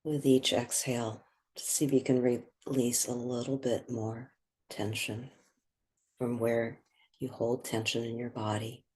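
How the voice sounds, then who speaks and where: This is a guided meditation voice, sitting at a middling pitch. The delivery is slow and measured, with long pauses. It stays soothing and contemplative, gently leading the listener along. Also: level tone, slightly rough.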